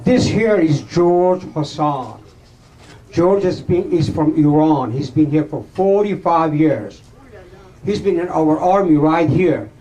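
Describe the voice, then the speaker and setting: Older man mimicking a blunt friend, slow, teasing, plain-spoken. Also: on the high side, animated, warm tone, dull audio. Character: blunt friend introducing a buddy, grown man.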